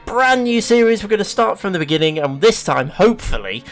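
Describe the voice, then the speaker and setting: A man's voice: sits high, big pitch swings, a little fast, faint music behind. Sniffly English man, casual gaming chat. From England. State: sniffly with hay fever. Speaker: gaming video host. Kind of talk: casual let's play commentary.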